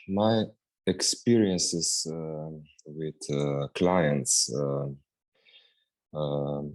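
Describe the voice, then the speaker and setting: Slovene guy with a super deep voice, talks slow, lots of uhs. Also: darkish tone. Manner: halting, many hesitations. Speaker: guest practitioner. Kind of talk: interview answers.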